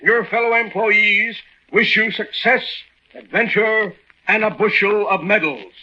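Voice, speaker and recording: Stuffy boss giving a flowery speech, slow and very sharp voice, old 1940s radio sound. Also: male voice, high-pitched, full character voice. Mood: grand, ceremonious. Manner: grandiloquent, speechifying. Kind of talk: 1940s radio drama acting. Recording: dull vintage 1940s radio recording.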